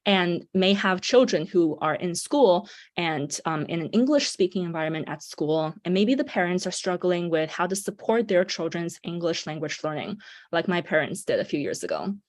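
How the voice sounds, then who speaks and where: Young woman presenting on a video call, lowish voice, upbeat, lots of ums. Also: animated, normal speed. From China. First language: Mandarin Chinese. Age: 20s. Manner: upbeat, with frequent um and uh fillers. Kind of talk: online presentation.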